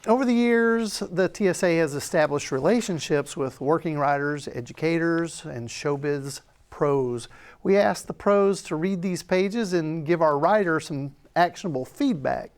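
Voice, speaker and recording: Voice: mid-range voice, expressive, measured pace, a little mellow. Host of a panel discussion. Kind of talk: moderating a table read feedback discussion.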